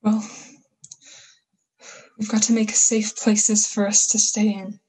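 A woman playing a bitter, scheming survivor soldier in a radio play, talking in slangy, halting, emphatic phrases. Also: medium pitch, level tone, dark tone, breathy edge, rough recording. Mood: fervent, scheming. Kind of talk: 1930s-style radio play acting.

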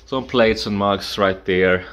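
Slow, low-voiced man touring his apartment. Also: fairly bright tone. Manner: halting, hesitant, with restarts and playful asides. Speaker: vlogger giving a home tour. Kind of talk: casual home tour narration. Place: small apartment bathroom and kitchen indoors. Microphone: action camera worn on the body.

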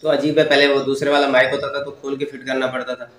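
A man's voice: medium pitch, level tone, quick pace, roomy sound. A young man doing a casual stand-up monologue as host of his own comedy channel, chatty and self-mocking, laughing through his jokes, on a lapel mic in a studio. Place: studio in front of a lit backdrop.

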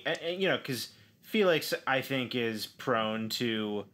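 A man describing his character in a tabletop role-playing game, feeling his way haltingly and repeating words. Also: medium pitch, animated, a bit bright. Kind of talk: improvised description of a character in actual play.

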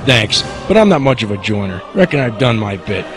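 An actor playing a cocky, laid-back mercenary pilot in a video game, with breezy folksy swagger. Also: male voice, fairly low pitch, sing-song, fairly quick, music in the background. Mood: wry, casual. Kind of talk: video game cutscene acting. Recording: game soundtrack clearly behind.

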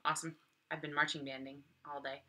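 Young woman, casual co-host chat, very bright sharp tone, lowish pitch, home living room. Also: little pitch movement, fast talker. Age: young adult. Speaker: host, singer.

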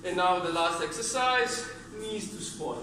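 A man's voice: high-pitched, animated, a little slow, noisy background. A man in his thirties, a non-native English speaker, leading a workout as he demonstrates it, with short cued commands and physical effort in his voice. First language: not English. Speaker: fitness trainer. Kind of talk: workout instruction while demonstrating. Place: large indoor gym with mats. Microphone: camera several metres away. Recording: echoey gym, distant mic, music behind.

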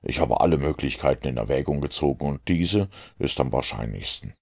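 A shrewd, methodical storybook detective acted out by a man reader speaks in an extremely low voice. It crackles with gravel as he lays out his reasoning deliberately, fully sure of himself. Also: animated, a little mellow, exaggerated voice. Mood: self-assured. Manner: deliberate, explanatory.

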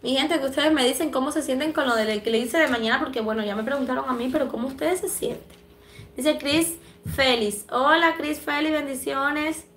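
High bright young woman livestreaming. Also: normal speed, echoey room, a little hiss or hum. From Cuba and Dominican Republic. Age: young adult. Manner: affectionate, chatty, laughs often. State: stuffy with allergies. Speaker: livestream host. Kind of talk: live chat reading viewer comments. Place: small room indoors. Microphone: phone camera at arm's length.

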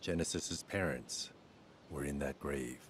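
A man playing a grave, businesslike commanding officer in a video game, terse and measured. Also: a bass voice, slight background noise. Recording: game music under the dialogue.

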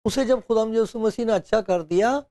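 A man's voice: high voice, moderate pace, warm tone, no room sound. Older man preaching in a studio. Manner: storytelling, acting out quotes with laughs and gestures. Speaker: pastor. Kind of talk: sermon to camera. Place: studio with bookshelves, indoors. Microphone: lapel.

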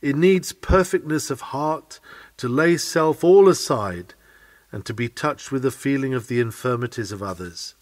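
A man's voice: mid-range voice, unhurried, no room sound. A man reading a sermon aloud in an earnest, oratorical manner. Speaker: sermon reader.

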